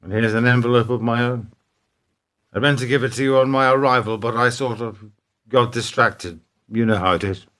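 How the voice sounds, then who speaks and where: A man acting a wounded, principled businessman, bright-voiced. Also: mid-range voice, steady tone, lightly acted. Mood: quietly defiant. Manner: halting, wry, pointed. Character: wounded, principled businessman confronting his treacherous partner. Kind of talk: radio play acting.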